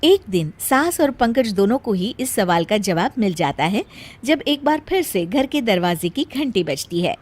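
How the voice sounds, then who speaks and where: A woman's voice: medium pitch, big pitch swings, moderate pace, a little mellow. A woman storyteller reading scripted narration for a story.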